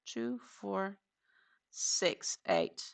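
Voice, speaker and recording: A woman's voice: medium pitch, wildly swooping pitch, very slow, gravelly, smooth. A woman with a Danish accent chatting casually on a crochet live stream, counting her stitches aloud and answering viewers.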